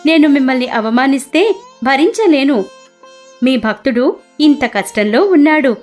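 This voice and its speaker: A high, earnest goddess, voiced by a woman, with music behind her. She is a caring, devoted divine wife in a cartoon devotional tale, pleading and a little indignant. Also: animated, a little slow. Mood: concerned.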